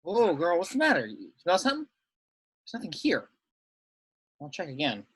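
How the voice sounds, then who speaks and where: A man's voice: a little high, wildly swooping pitch, moderate pace, fairly bright tone, husky, no echo, heavily acted. A man reading the part of an eager, dutiful young rebel pilot in an amateur table read. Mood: puzzled, soothing.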